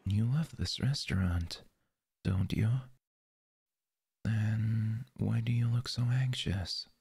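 A possessive, jealous lover, played by a man in an audio roleplay, speaking very slowly and intimately close to the microphone. His low voice is gravelly and very breathy, and he often drops into a whisper. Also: a little mellow, no room sound, exaggerated voice. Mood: teasing.

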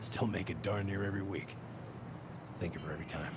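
An actor playing an awkward, kindly middle-aged backwoods handyman in a video game, halting, rambling and folksy. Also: sits low, steady tone, background noise, close to an everyday voice. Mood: sheepish, sincere. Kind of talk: naturalistic video game acting.

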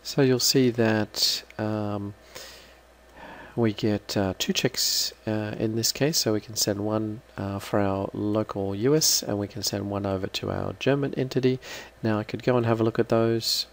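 A man giving an unscripted, halting step-by-step software walkthrough as a trainer. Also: on the low side, fairly even intonation, vocal fry. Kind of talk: screen-recorded software walkthrough.

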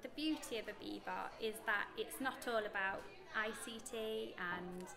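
A woman's voice: mid-range voice, a little slow, creaky. A British woman in her thirties, a primary school teacher explaining her classroom practice in an interview.

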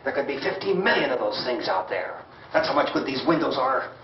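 An actor playing an irritable, panicky middle-aged American husband in a 1960s horror film, griping and scornful. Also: male voice, medium pitch, big pitch swings, a little fast, slight background noise, natural voice. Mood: scornful, agitated. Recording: roomy, distant, dull old film soundtrack.

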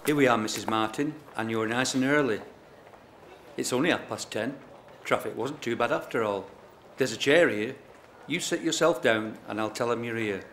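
A man's voice: mid-range voice, moderate pace, fairly bright tone, a little hiss or hum, close to an everyday voice. Reassuring, chatty male hospital escort, acted by a man. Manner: reassuring, familiar. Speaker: actor in an amateur audio drama. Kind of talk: naturalistic audio drama dialogue.

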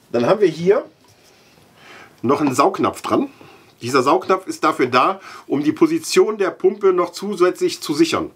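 A middle-aged man with a husky voice, giving a casual, halting product demonstration as he handles the parts. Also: a little high, a little hiss or hum. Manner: halting, thinking aloud while handling parts. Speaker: aquarium product reviewer. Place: indoor room with large aquarium.

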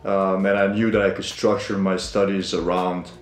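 A young man from Slovenia, a master's student answering interview questions in a library, calm and deliberate. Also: deep voice, echoey room, soft background music. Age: 20s. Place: library indoors.

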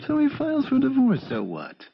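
An actor playing a smug, slangy, good-for-nothing beach bum lover in a 1970s radio drama. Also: male voice, very high voice, huge pitch swings, vocal fry, husky, full character voice. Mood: sly, coaxing. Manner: sly, slangy, unhurried. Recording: dull 1970s radio recording.

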